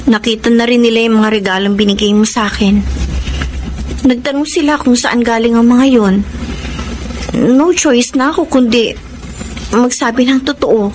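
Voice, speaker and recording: A woman's voice: on the high side, a little mellow, a little hiss or hum, natural voice. An actress with a Filipino accent playing an anxious, devoted young college girlfriend in a radio romance drama, earnest and hesitant. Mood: troubled.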